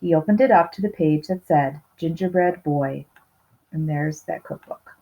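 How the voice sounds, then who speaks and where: A woman's voice: low voice, normal speed, noisy background. Woman reading aloud, slightly muffled recording. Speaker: storybook reader. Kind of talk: children's read-aloud narration with asides. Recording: slightly dull, lo-fi sound.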